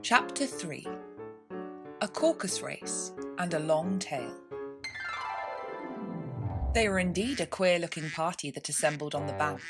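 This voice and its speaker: A woman reading a classic children's story aloud in an expressive, scripted narration style. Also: lowish voice. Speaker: storybook reader.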